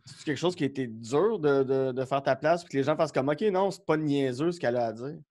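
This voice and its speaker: A man's voice: medium pitch, fast, darkish tone. A man with a Québécois French accent hosting a podcast, chatting and asking questions in an informal, agreeable way. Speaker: podcast host. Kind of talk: casual chat, interviewing.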